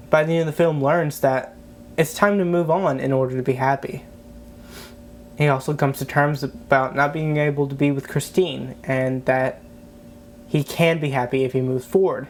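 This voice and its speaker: A man with a stuffy, congested cold giving a low-energy scripted breakdown narration as a video host. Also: a little mellow, a little hiss or hum.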